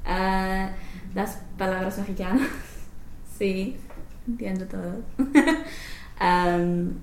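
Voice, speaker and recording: A woman's voice: medium pitch, steady tone, drawn-out words, darkish tone. A young woman answering interview questions as a language study participant, hesitant, with many fillers, restarts and frequent laughter. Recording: roomy echo, faint background noise.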